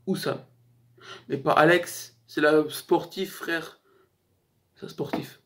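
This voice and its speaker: A young man with a slightly high voice chats casually as a YouTube host running through a list. His talk is slangy and joking, and he rambles as he goes. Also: fairly even intonation, slow pace. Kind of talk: casual chat to camera, countdown list.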